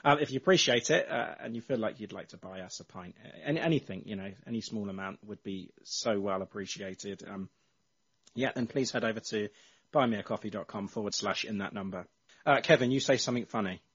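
A man with a slightly husky voice chats along casually, rambling and hedging as he works through his thoughts. The recording is muffled and lo-fi. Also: fairly low pitch, fairly quick, a bit bright. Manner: chatty, rambling, hesitant. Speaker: podcast host. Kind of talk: casual chat. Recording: dull lo-fi.

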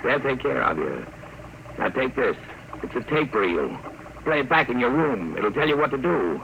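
An actor playing a cold, bullying kidnapper, curt and commanding, in old-time radio drama style. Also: male voice, medium pitch, sing-song, moderate pace, vocal fry, a bit bright, muffled recording. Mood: curt, threatening. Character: cold, bullying masked gangster kidnapper, menacing and smug. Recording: slightly noisy old radio broadcast.

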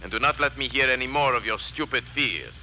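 A man's voice: mid-range voice, animated, fast. An actor playing a cold, menacing male plotter giving clipped, commanding orders, heard as a tape playback in an old radio drama. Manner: commanding, sinister, clipped formal phrasing. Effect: played back from a tape recorder. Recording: muffled, noisy old radio recording.

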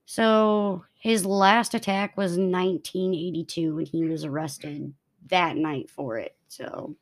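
A woman's voice: on the low side, steady tone, slow pace. A young woman co-hosting a casual true-crime podcast, reading out case notes and stumbling over them now and then. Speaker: podcast co-host telling the case.

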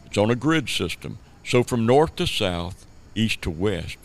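A man's voice: fairly low pitch, sing-song, a little slow. A man from the southern United States narrating a scripted radio play as a storyteller. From Savannah, Georgia, United States.

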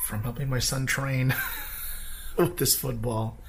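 A man with a hoarse voice talking casually in a vlog, rambling between thoughts. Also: medium pitch, steady tone, slow pace. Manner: rambling, with fillers. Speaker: vlogger.

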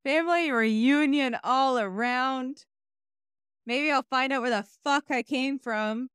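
Excitable young woman with a smooth voice. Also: sits high, takes it slowly, no echo, studio quality. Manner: swears freely, apologetic, excitable. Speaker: podcast host presenting research. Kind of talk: casual chat.

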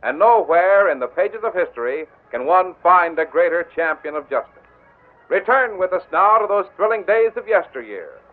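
A man narrating an old western radio show with a high-pitched, booming, declamatory delivery. It comes through a thin, tinny broadcast sound from decades ago. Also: lively intonation, normal speed. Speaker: announcer and narrator. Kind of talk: dramatic radio announcer narration.

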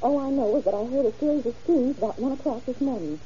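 A woman's voice: high-pitched, expressive, quick pace, warm tone, breathy edge, exaggerated voice. A woman playing a chatty neighbor witness in an old-time radio drama. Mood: earnest, gossipy. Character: chatty female neighbor witness, eager to gossip. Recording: dull muffled old radio recording, noisy.

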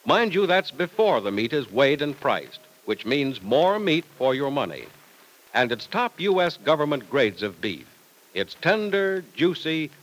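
A man's voice: on the high side, a bit bright, poor audio. An American man announcing in a polished, emphatic 1950s radio style, reading scripted copy. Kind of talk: 1950s radio sponsor pitch and scripted narration.

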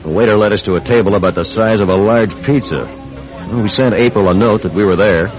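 A man's voice: fairly low pitch, a little fast, close to an everyday voice. An actor playing an easygoing young newspaperman sleuth who narrates a radio mystery in a wry, casual way. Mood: wry narration. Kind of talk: radio mystery drama acting with first-person narration. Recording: dull radio recording with music behind.

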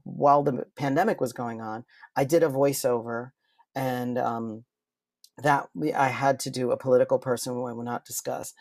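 Casting: man, medium pitch, podcast guest talking shop informally, explanatory, dry sound. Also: darkish tone, dry recording. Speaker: guest, voice impressionist and performer. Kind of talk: casual podcast interview chat.

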